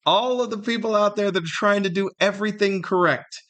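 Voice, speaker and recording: A man's voice: high-pitched, a little fast, a bit bright. A middle-aged American man hosting a solo podcast, talking in a casual, rambling, conversational way and sometimes acting out imagined remarks. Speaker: podcast host and comedian.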